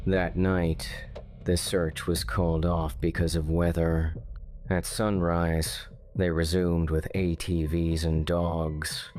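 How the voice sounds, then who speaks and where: A man narrating a dark true-style mystery story in a slow, measured, ominous way. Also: low voice, level tone, a little hiss or hum. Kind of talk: scripted horror narration. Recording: faint music underneath.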